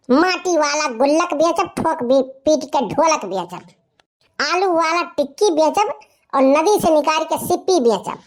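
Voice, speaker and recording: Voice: sits high, fairly bright tone, full character voice. A performer voicing a boastful young village slacker in a comic cartoon story, with a rustic eastern Uttar Pradesh Awadhi accent. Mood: cheerfully scheming.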